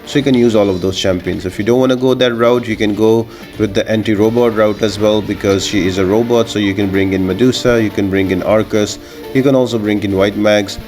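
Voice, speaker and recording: Male game-guide host, lower register, informal instructional voice-over with music underneath. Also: fairly even intonation, a little fast, darkish tone. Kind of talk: gameplay tips commentary, explanatory.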